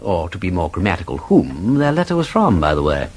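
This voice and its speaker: An actor playing a witty, talkative aristocratic sleuth in a radio drama, with an upper-class English accent and a playfully pedantic, theatrical manner. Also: male voice, low-pitched, wildly swooping pitch, fairly quick, darkish tone, a little hiss or hum. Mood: wry. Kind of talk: British radio drama acting.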